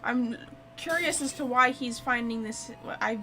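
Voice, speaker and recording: A woman giving casual let's play commentary as a game streamer, chatty and expressive. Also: on the high side, measured pace, soft background music.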